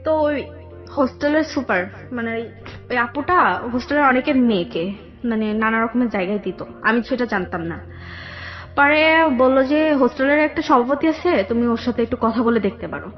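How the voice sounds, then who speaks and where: A young woman with a Bangladeshi accent telling her personal story in a radio interview, halting and repeating herself. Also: fairly high pitch, animated. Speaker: guest telling own life story. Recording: dull lo-fi radio audio, background music.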